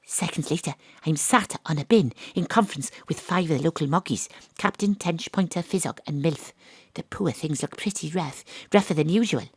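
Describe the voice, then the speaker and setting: Deadpan hard-boiled female detective. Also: deep voice, moderate pace, husky, dry recording. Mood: wry, brooding. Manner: deadpan, dry. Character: female private detective, world-weary, wry, hard-boiled ex-rugby star. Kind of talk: noir detective narration in audio drama.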